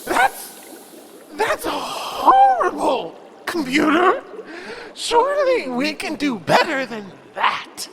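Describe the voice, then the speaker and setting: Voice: high-pitched, wildly swooping pitch, slow, gravelly, exaggerated voice. An actor playing a self-important, whiny ruler in an audio drama, speaking in a plaintive, melodramatic and hesitant way. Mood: dismayed, plaintive.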